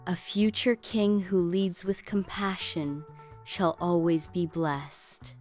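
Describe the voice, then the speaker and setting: A woman playing a gentle, benevolent angel guardian in a children's bedtime story, speaking in measured, formal lines. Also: on the low side, steady tone, faint music behind. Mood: proud, blessing. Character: gentle, solemn female angel guardian of a forest.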